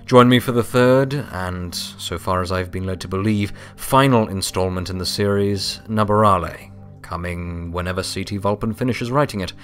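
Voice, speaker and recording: A man's voice: deep voice, moderate pace, few pauses, fairly bright tone, faint music behind. A man reading scripted story narration as the narrator.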